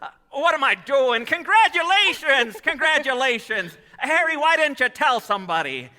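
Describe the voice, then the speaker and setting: Bright, earnest young small-town man played by an actor. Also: extremely high pitch, big pitch swings, moderate pace, heavily acted. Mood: surprised, pleased. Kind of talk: 1940s radio play acting. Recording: echoey hall, live stage taping.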